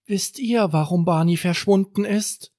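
A man's voice: high-pitched, a little slow, a little mellow, dry recording, studio quality, voice pushed up. A man voicing an upset, tearful little boy in a children's bedtime story. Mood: questioning. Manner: pleading, tearful. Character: upset little boy missing his teddy bear.

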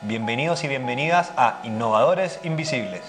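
A man giving measured, formal scripted narration for a documentary series. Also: mid-range voice, lively intonation, over music.

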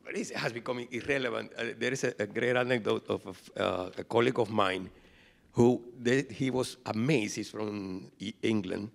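A man with a gravelly, creaky voice joins a discussion and tells a short anecdote. He pauses and restarts as he searches for words. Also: on the low side. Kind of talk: discussion remark.

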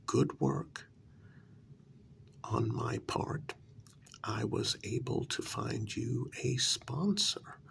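A man speaks softly and very slowly in an ASMR role-play, as a flattering agent. His voice is heavy with vocal fry and often slips into a breathy whisper. He pauses often and repeats little words soothingly. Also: mid-range voice. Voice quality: breathy, half-whispered, gravelly. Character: smooth, flattering talent agent to supernatural creatures.

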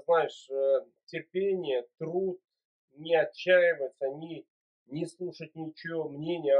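Encouraging man on tinny webcam audio. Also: a little high. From Uzbekistan. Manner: encouraging, fatherly advice. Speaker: video chat host. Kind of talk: casual video chat. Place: small room indoors. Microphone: webcam mic.

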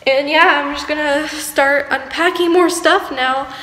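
A woman's voice: high voice, steady tone, measured pace, sharp tone. A teenage girl vlogging a casual house tour with a chatty, rambling way of talking, filmed on a phone held at arm's length in an empty house. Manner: chatty, rambling, filler-heavy. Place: empty house with bare walls, indoors. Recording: echoey empty rooms, faint room hum.

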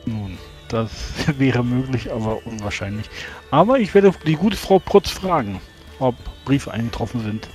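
A thoughtful gentleman adventurer played by a man in a tabletop role-playing game. His mid-pitched voice is polite, formal and measured. Faint music sits behind it. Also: animated, lightly acted. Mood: pensive, courteous.

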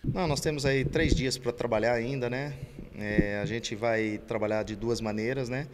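A middle-aged man, a football coach, giving a post-training interview into a handheld mic outdoors, speaking in a measured, hesitant way. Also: mid-range voice, long reverb tail, noisy background. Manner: measured, hesitant, many tag questions. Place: outdoor press backdrop at a stadium. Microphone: handheld reporter mic.

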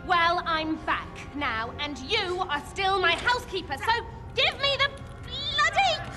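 An actress playing a bossy, guarded, frazzled woman in a dramatic game cutscene, talking in a sharp, exasperated way. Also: very high voice, animated, a little slow. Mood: indignant, angry. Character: bossy, frazzled, guarded woman actor sheltering in her home. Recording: long reverb, music underneath.

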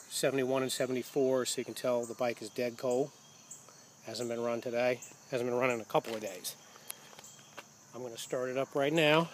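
A man's voice: mid-range voice, a little hiss or hum. Man casually showing off his bike outdoors. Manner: relaxed, plain-spoken, explaining as he goes. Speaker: motorcycle owner. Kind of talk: casual walkaround narration. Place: outdoors beside a parked motorcycle. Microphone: handheld camera or phone.

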